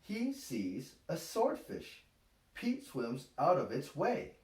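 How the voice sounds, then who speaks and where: Guy reading a kids book aloud, super slow and expressive, kinda roomy and distant. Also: on the high side. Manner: deliberate, expressive, simple phrasing. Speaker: storytime host, father reading aloud. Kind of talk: slow picture-book read-aloud for young children. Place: small room at home, indoors. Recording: roomy, distant home-camera sound.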